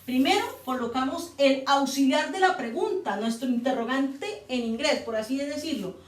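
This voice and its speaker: A woman's voice: high-pitched, moderate pace. Woman teaching grammar, echoey room. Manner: patient, repeats and spells out steps. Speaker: English teacher. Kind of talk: grammar lesson explanation.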